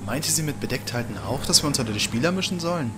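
Man as a lively, chummy sidekick. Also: medium pitch, fast, noisy background, close to an everyday voice. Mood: casual, curious. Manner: casual, expressive. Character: chummy, curious male sidekick of a hero. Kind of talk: audio drama acting.

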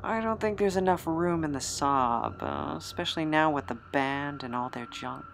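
Gravelly, sardonic immortal drifter, played by an actor. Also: mid-range voice, moderate pace, a bit bright, slight background noise. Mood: cold, flippant. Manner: flippant, wry, breathy asides and chuckles. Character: Napoleon, sardonic, embittered immortal drifter with fractured memory. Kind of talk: audio drama acting.

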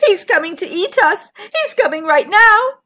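Terrified small storybook fish played by a woman, very high, shrill and fussing. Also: expressive, unhurried, pushed and bright, exaggerated voice. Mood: panicked, pleading. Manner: shrill, crying out. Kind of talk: children's storybook character voice.

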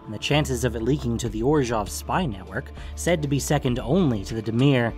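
A man's voice: medium pitch, a little fast, a little mellow, faint music behind. A man narrating a scripted fantasy story as its narrator.

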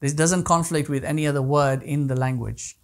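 A man's voice: fairly high pitch, fairly even intonation, brisk. A man in his thirties whose first language is Kutchi, teaching a class from a small room and explaining things with many restarts. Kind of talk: online class lecture answering questions. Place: small indoor living room.

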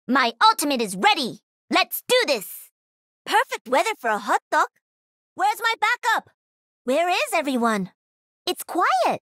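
A woman's voice: very high-pitched, pushed and bright, dry recording, clean studio sound, heavily acted. A woman voicing a cocky, playful young gamer who pilots a mech in a video game, with punchy, teasing callouts. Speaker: voice actor.

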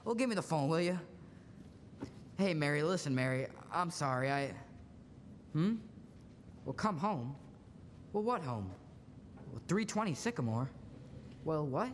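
A young man acting an earnest, halting, pleading young loan-office manager in a 1940s-style radio play. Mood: flustered. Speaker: student actor in a school radio play. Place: large school auditorium stage. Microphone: stand microphone. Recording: echoey auditorium with steady rumbling noise.

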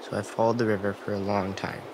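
Guy doing a shy guilty boy in a video game, hesitant, a bit low, clear stream noise behind him. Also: flat delivery, fast, close to an everyday voice. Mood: sad, subdued. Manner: hesitant, soft-spoken, apologetic. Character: timid, guilt-ridden gentle young boy. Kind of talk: naturalistic video game dialogue acting. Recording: clear outdoor stream ambience.

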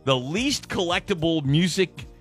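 A middle-aged male radio host with a lively voice that rises and falls a lot. He chats in a casual, self-mocking way, with faint music playing underneath. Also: fairly high pitch, a little slow. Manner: chatty, self-mocking, animated delivery. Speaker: radio morning show host.

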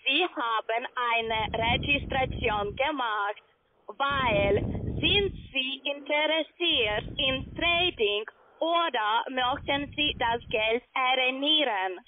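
A woman with a foreign accent and halting, broken German, pitching as a call centre sales agent. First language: not German. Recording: narrow crackly phone line, noisy.

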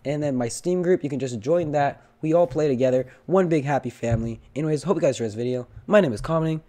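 A young man with a hoarse, cracking voice from illness, chatting casually as he opens loot boxes and pleads for better luck. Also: on the high side, fast talker, warm tone. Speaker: gaming channel host. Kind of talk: casual game commentary.

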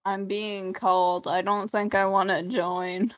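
A woman's voice: medium pitch, level tone, measured pace, a bit bright, no echo. A woman playing a game with a friend, laid-back and giggly, chatting casually. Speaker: co-player. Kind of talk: casual gameplay chat.

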